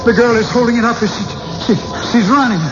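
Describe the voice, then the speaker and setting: An actor playing a haunted man in a 1970s radio drama, speaking in a halting, intense, trance-like way. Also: very high-pitched, steady tone. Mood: feverish, entranced. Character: haunted man convinced he was a murderer reborn. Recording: dull old radio recording, loud music.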